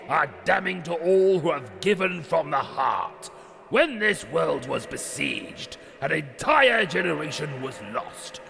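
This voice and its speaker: An actor playing a stern pastor preaching over crackling loudspeakers, with a gravelly voice. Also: male voice, fairly high pitch, heavily acted. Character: stern male preacher scolding his flock. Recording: echoey hall reverb.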